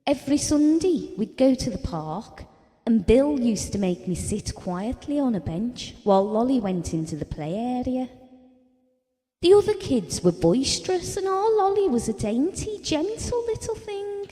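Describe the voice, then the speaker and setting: Gentle devoted British wife, audio drama, unhurried, soft mellow tone, wistful, confiding. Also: female voice, high voice, sing-song. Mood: fond. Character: gentle, devoted, self-doubting middle-aged working-class wife and mother. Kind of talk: audio drama monologue, reminiscing. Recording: reverberant hall-like room.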